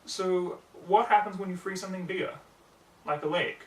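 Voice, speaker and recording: A man's voice: sits high, normal speed, a little mellow, voice pushed up, close to an everyday voice. A man voicing a refined, eager female unicorn pony in an amateur storybook reading. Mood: curious, uneasy. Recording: roomy, distant mic, faint noise.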